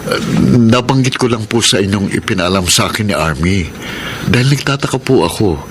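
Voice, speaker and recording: A man's voice: on the low side, animated, moderate pace, a little hiss or hum, natural voice. A man acting in a Filipino radio drama as a humble, earnest young security guard, polite and plaintive. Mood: pleading, puzzled.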